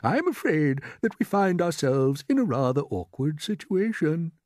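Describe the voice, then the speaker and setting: A storyteller voicing a kindly old male grasshopper who leads the group and keeps everyone calm with a cheerful, slightly grand manner. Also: mid-range voice, normal speed, no echo, studio quality, voice pushed up. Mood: reassuring. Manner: cheerful, soothing, a bit grand.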